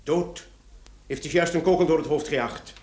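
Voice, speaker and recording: An actor playing a talkative, wry and excitable police commissioner in a theatrical radio drama. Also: male voice, a little high, moderate pace, slight background noise, natural voice. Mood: relieved, urgent.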